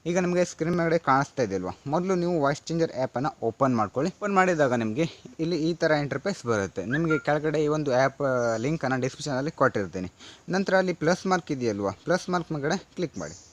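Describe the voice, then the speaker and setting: A man's voice: on the high side, animated, quick pace. A man hosting a casual step-by-step tech tutorial, instructing viewers in a friendly-address, repetitive way.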